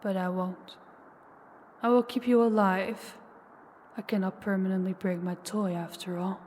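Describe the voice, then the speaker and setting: A woman's voice: mid-range voice, fairly even intonation, measured pace, darkish tone, long reverb tail, slight background noise, lightly acted. A woman playing a menacing, possessive ancient female vampire in an intimate audio roleplay, taunting and commanding, sometimes dropping toward a whisper. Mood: sadistic, controlled. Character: possessive, menacing older female vampire, toying with captive huntress.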